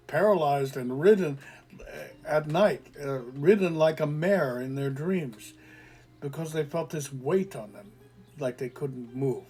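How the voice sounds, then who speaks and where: A man reading an audio story, voicing a calm, skeptical grown man who patiently explains things away in a soothing, lecturing way. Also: on the high side, breathy edge.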